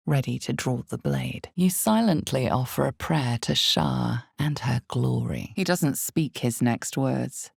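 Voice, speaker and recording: A woman playing the ominous, all-knowing narrator of a dark fantasy game, speaking in a hushed, measured, portentous way with a low husky voice. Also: no echo, clean studio sound, full character voice. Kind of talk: dramatic second-person fantasy game narration.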